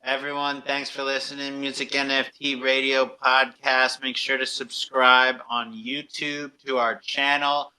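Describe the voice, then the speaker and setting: A man's voice: mid-range voice, flat delivery, measured pace, hardly any pauses, pushed and bright, low-quality recording. A man hosting a podcast in casual, upbeat, slangy talk. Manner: laid-back slangy, upbeat. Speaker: podcast and radio host. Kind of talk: casual chat.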